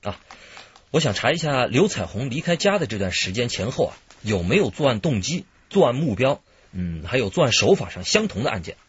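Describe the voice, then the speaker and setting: An actor playing an eager, friendly young police computer investigator in a radio drama. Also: male voice, medium pitch, sing-song, a little fast, slightly rough, dull audio. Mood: eager, thoughtful. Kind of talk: Chinese radio drama acting.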